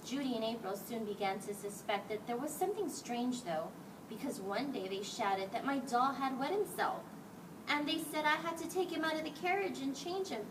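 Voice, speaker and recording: A woman's voice: high voice, animated, moderate pace. A woman reading a picture book aloud to children as a teacher, expressively and acting out the dialogue. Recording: distant mic, clearly noisy background.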